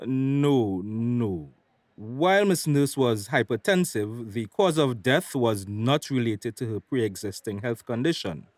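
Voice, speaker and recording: A man playing a polite doctor in a radio drama, with a Tobagonian accent and a measured, formal manner. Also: medium pitch, lively intonation, darkish tone, no echo. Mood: grave, careful. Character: polite, formal doctor delivering grave news.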